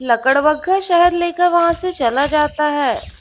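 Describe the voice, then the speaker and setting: A woman's voice: sits high, measured pace, a little hiss or hum. A woman narrating a scripted children's story.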